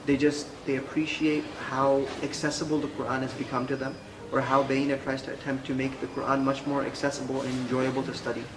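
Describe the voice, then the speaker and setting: A young man raised in the United States, answering interview questions in a measured, conversational way with frequent fillers. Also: medium pitch, level tone. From Pakistan, raised in the United States. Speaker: interview guest, Quran instructor. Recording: echoey room with clear background noise.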